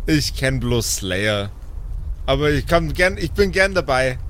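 A man's voice: mid-range voice, very animated, a little slow, a bit bright, slight background noise. A man improvising casual in-character dialogue in a role-play podcast, slangy and somewhat exasperated.

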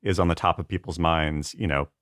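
A man chatting casually on a panel in a halting, informal way, peppered with filler phrases. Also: deep voice, steady tone, fairly bright tone, clean tone, no echo, clean studio sound. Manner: halting, informal, full of "like" and "you know". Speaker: comedy writer.

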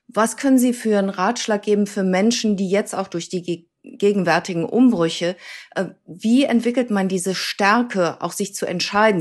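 A woman co-hosting a podcast, asking the guest long, formal questions that sometimes restart mid-phrase. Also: medium pitch. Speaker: podcast co-host. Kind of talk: interview questions.

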